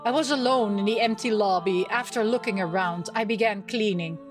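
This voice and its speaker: A woman hosting a gaming video, reading game text aloud and reacting in a playful, expressive way as she chatters to herself. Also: medium pitch, brisk, music in the background.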